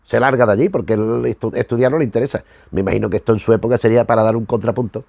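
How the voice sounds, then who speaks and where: A man's voice: mid-range voice, racing, creaky, a little mellow, no room sound. A middle-aged man with an accent from Spain, giving informal, rambling commentary as a host, often correcting and repeating himself for emphasis. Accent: Spanish from Spain. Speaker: host of a retro television review show.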